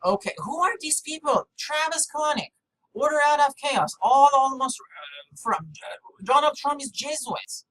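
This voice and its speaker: A non-native English speaker giving a rambling, unscripted monologue in a halting, fragmented and repetitive way, jumping from thought to thought. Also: sits high, animated, fairly bright tone. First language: not English. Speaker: video maker. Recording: clearly noisy background.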